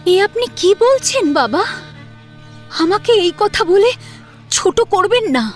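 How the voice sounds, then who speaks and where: A humble young wife addressing her elders, acted by a woman in an audio drama. She is high-pitched, earnest and deferential, with faint music underneath. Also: very animated, takes it slowly, full character voice. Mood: distressed, pleading. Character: humble, respectful daughter-in-law. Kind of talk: dramatised audio story acting.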